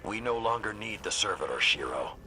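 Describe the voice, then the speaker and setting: A deep, grave old warrior lord. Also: male voice, sing-song, bright, forceful tone, a little hiss or hum, heavily acted, low-quality recording. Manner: slow, solemn, formal. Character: stern veteran warrior lord, grave and commanding.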